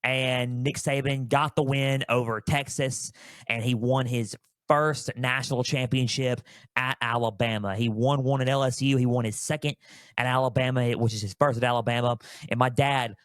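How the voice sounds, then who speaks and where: A man's voice: medium pitch, fairly even intonation, moderate pace, fairly bright tone, no room sound. Young man podcasting, dry close sound. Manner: conversational, repeats phrases for emphasis. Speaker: sports podcast host. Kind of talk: solo sports podcast monologue.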